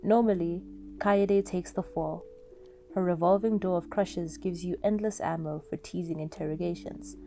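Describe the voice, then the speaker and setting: A woman's voice: lowish voice, warm tone. A woman reading scripted second-person story narration expressively, as the narrator of an audio fan fiction. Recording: music under the voice.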